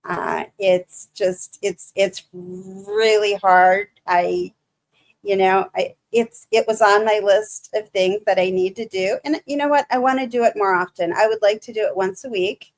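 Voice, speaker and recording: A woman with a light Michigan accent talks to her livestream viewers at a mid pitch. She speaks haltingly, with frequent fillers and restarts. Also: steady tone. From Michigan, USA. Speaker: livestream host. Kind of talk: casual livestream chat with viewers.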